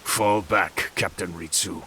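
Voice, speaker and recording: A man's voice: low-pitched, steady tone, takes it slowly, sharp tone, slight background noise, exaggerated voice. A man playing a proud, battle-hardened general in a video game, formal and weighty in manner. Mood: ominous. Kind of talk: video game cutscene acting.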